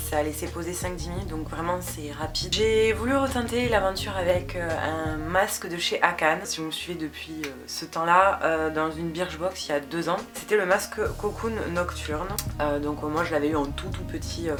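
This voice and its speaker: Woman, young adult, lowish voice, casual beauty chat, filler-heavy, music behind. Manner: chatty, hesitant with many fillers. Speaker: beauty vlogger. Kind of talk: casual product review chat. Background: background music.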